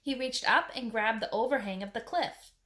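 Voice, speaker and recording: A woman reads a children's adventure book aloud as the storyteller. Her voice is on the higher side as she narrates. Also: moderate pace, fairly bright tone. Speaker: storybook reader.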